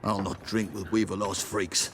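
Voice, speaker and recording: An actor playing a surly, bullying village thug in a video game, with a menacing, sneering delivery. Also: male voice, deep voice, level tone, normal speed. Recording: clear background ambience noise.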